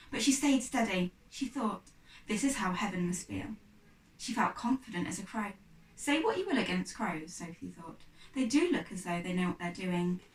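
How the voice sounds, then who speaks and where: A woman's voice: mid-range voice, a bit bright. Woman reading aloud in an echoey room. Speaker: primary school teacher reading aloud. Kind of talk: children's storybook reading. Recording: echoey room, distant microphone.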